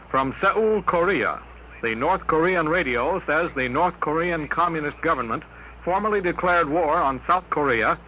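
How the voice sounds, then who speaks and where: Higher-voiced 1950s radio newsman. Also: pushed and bright. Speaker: news announcer. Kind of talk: 1950s radio news bulletin. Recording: muffled old radio recording, faint noise.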